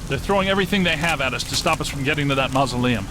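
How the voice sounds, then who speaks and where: An actor playing a brainy, precise scientist ghost hunter in a video game, formal and deadpan. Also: male voice, medium pitch, rapid-fire, background noise, close to an everyday voice. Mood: measured, grave.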